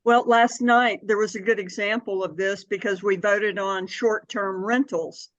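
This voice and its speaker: An older woman giving measured, explanatory answers in a conversational interview. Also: mid-range voice, fairly even intonation, no room sound, highs cut off. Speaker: interview guest, city board member and anti-hunger advocate.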